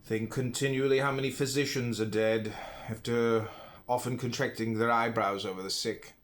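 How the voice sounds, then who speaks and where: Man deliberately reading philosophy aloud. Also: medium pitch, steady tone, slight background noise. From United States. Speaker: reader, podcast host.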